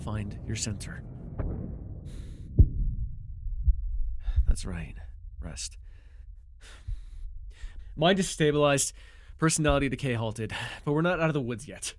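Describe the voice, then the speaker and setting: Man acting intense villain mentor, very animated. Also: medium pitch, moderate pace, pauses often, natural voice. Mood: tender, soothing. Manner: intense, emotive, swinging between biting and tender. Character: sardonic male telepath villain and reluctant, protective mentor. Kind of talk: immersive superhero audio roleplay acting.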